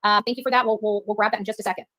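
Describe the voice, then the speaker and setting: A woman's voice: mid-range voice, flat delivery, very fast, bright, forceful tone, dry recording. A woman hosting a rambling livestream and chatting to viewers, emphatic and prone to repeating herself. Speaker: livestream host reading viewer chat. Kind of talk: rambling live-stream chat.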